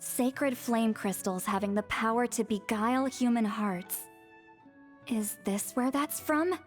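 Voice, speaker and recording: An actress as a high-voiced, composed scholarly woman. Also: faint music behind, natural voice. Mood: pondering. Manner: measured, thoughtful, articulate. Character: composed scholarly woman, thoughtful and gently firm. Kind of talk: video game voice acting.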